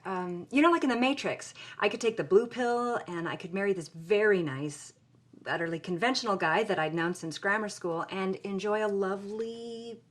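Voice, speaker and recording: A woman's voice: mid-range voice, normal speed, natural voice. An actress playing a headstrong, impulsive young woman, speaking candidly and expressively in a podcast-style audio drama. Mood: confiding. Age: young adult.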